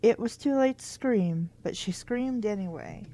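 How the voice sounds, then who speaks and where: A woman's voice: medium pitch, expressive, measured pace, dark tone. A woman reading a children's book aloud in an informal way, stumbling over words at times and adding wry comments of her own. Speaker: host of a book reading series.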